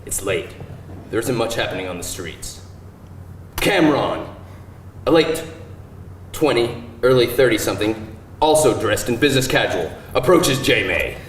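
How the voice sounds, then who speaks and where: A man's voice: medium pitch, animated, normal speed, long pauses. A man reading screenplay stage directions aloud as scripted narration at a live table read. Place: live event room with audience. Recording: echoey room, distant mic, faint noise.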